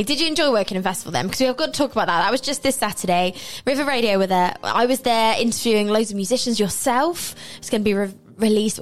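A woman hosting a casual radio interview, chatty and laughing often, her voice slightly worn. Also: medium pitch. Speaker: radio show host.

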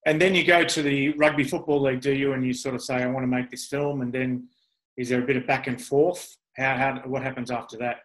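A man's voice: mid-range voice, fairly even intonation, brisk, fairly bright tone, low-quality recording. A man hosting a podcast interview in a casual, chatty, self-deprecating and rambling way. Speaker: podcast host.

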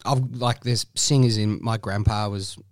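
A man chatting casually as a podcast guest, relaxed, with frequent laughs and fillers. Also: on the low side, unhurried, dry recording, studio quality. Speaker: guest musician.

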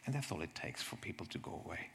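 Low creaky-voiced man presenting. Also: very animated, fast talker, very noisy. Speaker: presenter from a health service. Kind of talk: conference presentation with slides.

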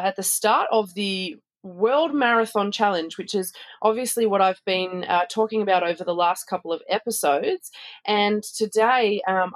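A woman hosting a podcast interview, upbeat and chatty with frequent fillers. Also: medium pitch. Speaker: podcast host.

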